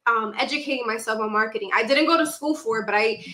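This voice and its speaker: High, bright-voiced young woman chatting. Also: fast talker, roomy sound. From Dominican Republic and New York City. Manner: animated storytelling, acts out her own past remarks. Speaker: podcast guest, actress and content creator. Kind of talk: casual conversational interview.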